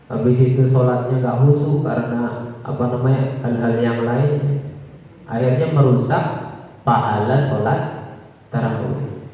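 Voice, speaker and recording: A man with a Tegal Javanese accent preaching to a congregation in a conversational way, posing rhetorical questions and answering them himself. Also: a little high. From Tegal, Central Java, Indonesia. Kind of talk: religious talk to a congregation. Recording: echoey hall, far from the mic.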